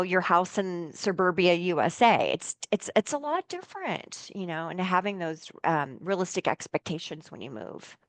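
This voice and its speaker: A woman therapist talks loosely in an interview, hopping between thoughts with fillers and false starts. Her voice sits at an ordinary middle pitch, and the sound is close and dry with no room around it. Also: fairly bright tone, dry recording. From United States. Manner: rambling, lots of fillers and restarts. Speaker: licensed therapist guest. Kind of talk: casual interview conversation.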